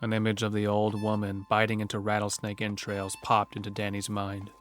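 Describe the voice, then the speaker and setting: A man's voice: fairly low pitch, completely flat delivery, fairly quick, a bit bright, soft background music. A man narrating a scripted western horror story for an audio drama.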